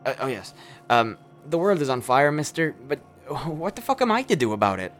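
A man acting a jittery, rambling killer, swinging pitch. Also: big pitch swings, moderate pace, a bit bright, slight background noise. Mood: awkward, hesitant. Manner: stammering, hesitant, rambling, foul-mouthed. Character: nervous, rambling, apologetic male killer, darkly comic. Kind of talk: darkly comedic radio play acting.

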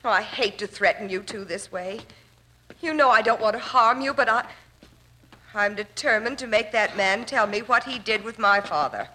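A woman's voice: mid-range voice, moderate pace, bright, forceful tone, slight background noise, lightly acted, rough recording. An actress playing a scheming, determined woman in a 1940s radio drama, speaking precisely and melodramatically. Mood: tense, commanding. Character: scheming, determined woman feigning grief, threatening at gunpoint.

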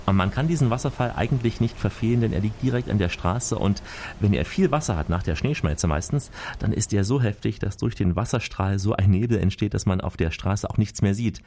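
A man co-hosting a radio travel show, reporting from a trip and voicing over interviews in a lively, chatty way. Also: fairly low pitch, fairly quick. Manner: lively, chatty storytelling with asides.

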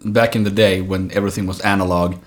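Guest: man, 40s, from Sweden, low voice, halting and full of fillers. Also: level tone. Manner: hesitant, many fillers and restarts. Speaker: guest actor. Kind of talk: casual interview conversation.

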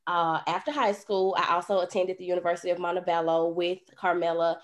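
A young woman from Birmingham, Alabama, telling her own story in a chatty, lively podcast chat. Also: on the low side, fairly quick.

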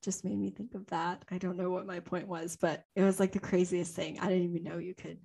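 A woman's voice: lowish voice, flat delivery, a little fast, gravelly, warm tone, slightly rough. Rambling young woman podcast host. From Louisiana. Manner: rambling, with many ums and easy laughter. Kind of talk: casual chat, unscripted storytelling.

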